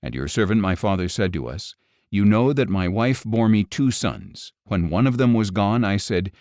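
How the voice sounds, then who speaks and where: A humble grown brother , read by a male narrator, pleads for his family in a scripture audiobook. The voice is fairly low, earnest and deferential, with a close, dry sound. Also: animated, normal speed, a little mellow, no echo. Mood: earnest pleading.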